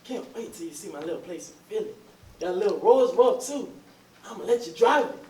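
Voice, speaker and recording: A man's voice: high voice, expressive, a little fast, darkish tone. A man playing an ardent, insistent suitor in his forties in a readers theatre drama, with folksy, effusive speech. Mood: ardent, reassuring. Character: ardent, insistent 42-year-old man courting his lost sweetheart. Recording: roomy, distant, noisy recording.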